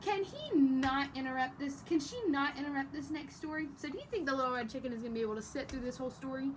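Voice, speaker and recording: High-pitched woman teacher reading to children. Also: fast talker. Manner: encouraging, explanatory, addressing children directly. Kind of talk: animated read-aloud lesson for children. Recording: faint background noise.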